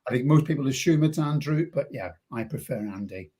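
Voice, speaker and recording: A man's voice: mid-range voice, expressive, fairly quick, warm tone, breathy edge. A middle-aged man from Belfast with a Northern Irish accent that shifts at times, talking conversationally as a guest in an understated, self-deprecating way. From Belfast, Northern Ireland. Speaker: guest, university business school head. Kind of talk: conversational interview.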